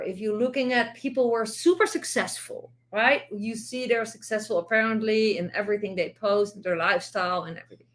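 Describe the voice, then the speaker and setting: A middle-aged Dutch woman with a Dutch accent, speaking English as a second language, giving earnest, encouraging vlog advice as an online business coach, with frequent restarts. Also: fairly high pitch. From Netherlands. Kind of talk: direct-to-camera vlog advice.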